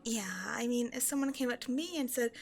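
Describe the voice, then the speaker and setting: A woman acts out a shy young woman in an intimate roleplay audio. Her voice sits a little high. She talks hesitantly and apologetically, nervously overthinking as she rambles to a stranger. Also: a little fast, natural voice. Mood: awkward, musing. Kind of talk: roleplay audio acting, intimate one-sided conversation.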